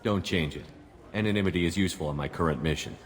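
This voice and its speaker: An actor playing a stoic, commanding space soldier hero in a video game, speaking in a direct, composed way. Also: male voice, deep voice, fast talker, in a large hall, natural voice. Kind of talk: video game dialogue acting.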